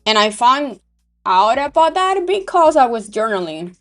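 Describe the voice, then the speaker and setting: A woman with a Venezuelan accent chatting casually on a day-trading livestream, thinking aloud with fillers and pauses. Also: fairly high pitch, very animated, faint music behind. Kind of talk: casual live-stream chat.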